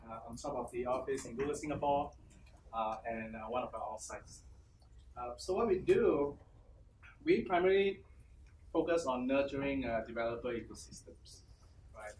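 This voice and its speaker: A man from Malaysia giving a relaxed, casual conference talk as a program manager, filling pauses with many uhs. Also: medium pitch. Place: conference hall. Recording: echoey hall, distant mic, clear noise.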